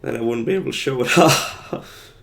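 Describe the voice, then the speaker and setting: A hoarse young man who is losing his voice, rambling casually with many fillers while he streams a game. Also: medium pitch, normal speed, fairly bright tone. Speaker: livestreamer playing a game. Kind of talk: casual gameplay commentary.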